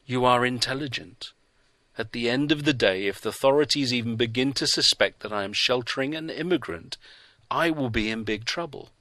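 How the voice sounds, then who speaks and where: A man's voice: medium pitch, normal speed, pushed and bright, no room sound, pitched above the performer's own voice. A man reading the part of a blunt, insistent male hostel worker who presses with clipped questions. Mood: firm.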